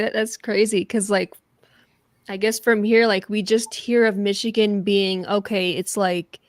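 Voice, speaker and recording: A woman's voice: mid-range voice, no echo, clean studio sound. A woman with a Southern American accent, a podcast guest who answers thoughtfully and searches for her words. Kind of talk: casual interview chat.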